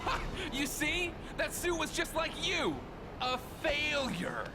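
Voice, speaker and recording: Hot-headed cartoon villain, high-pitched taunts, drawn out, loud background noise. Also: male voice, lively intonation, slow, full character voice. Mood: gloating. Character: gloating, hot-headed male supervillain. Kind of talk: cartoonish game cutscene acting. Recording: loud vehicle-like noise behind.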